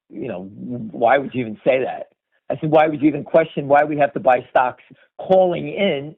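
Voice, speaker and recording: A middle-aged or older man hosting a stock market call with unscripted, opinionated commentary, speaking bluntly and emphatically, with swearing and repeated phrases. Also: mid-range voice. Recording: muffled lo-fi radio-like call audio.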